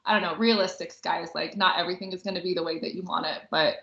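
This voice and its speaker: A woman's voice: lowish voice, steady tone, fast, creaky, pushed and bright. A woman co-hosting a podcast, chatting casually and informally about films, peppering her talk with filler words like "like.". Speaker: podcast co-host. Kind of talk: casual movie review chat. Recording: roomy echo, dull lo-fi audio.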